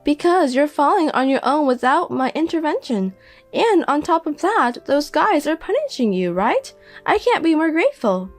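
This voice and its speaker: Casting: high, mocking mother voiced by a woman, scornful, drama audio. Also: sing-song, normal speed, faint music behind. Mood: gloating. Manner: mocking, gloating. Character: scornful mother, middle-aged, sharp-tongued.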